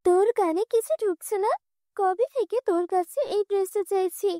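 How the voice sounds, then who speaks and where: A woman's voice: very high-pitched, fairly even intonation, normal speed, mellow, dry recording, studio quality, voice pushed up. A woman voicing a spoiled, spiteful young stepsister in a cartoon fairy tale, whiny and demanding. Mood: angry.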